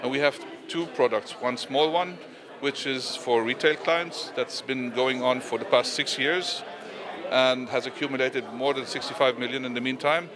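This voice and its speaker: A man's voice: medium pitch, moderate pace. A middle-aged man explaining his investment fund in an interview at a busy event, speaking into a handheld mic. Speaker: fund manager. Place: busy indoor event, press backdrop. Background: crowd chatter.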